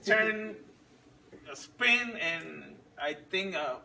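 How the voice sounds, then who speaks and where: A man in his forties, not a native English speaker, telling his story slowly with many pauses and restarts. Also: high-pitched, expressive, gravelly, sharp tone, recorded from a distance, slight background noise. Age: 40s. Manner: halting, many fillers and restarts. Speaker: fit club member sharing his story. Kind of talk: casual personal story.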